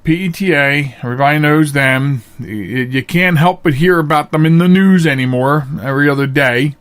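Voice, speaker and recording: Male talk host, slightly high register, casual and chatty, folksy showman who digresses. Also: normal speed, fairly bright tone, clear, resonant tone. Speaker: podcast host. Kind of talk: casual, chatty monologue to listeners.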